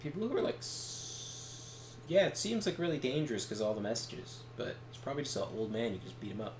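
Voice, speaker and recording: A man co-hosting a game stream, chatting casually in a halting, digressive way as he shares bits of trivia. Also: mid-range voice, big pitch swings. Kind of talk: casual rambling chat. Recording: echoey room, faint background noise.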